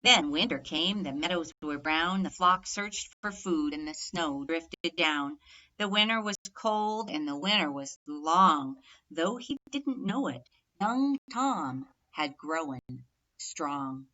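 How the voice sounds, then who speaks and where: A woman reads a rhyming children's story aloud with clear emphasis on each rhyme. Her voice is slightly low and bright, and she takes her time over the lines. The narration is measured and expressive. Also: dull audio. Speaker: storybook reader.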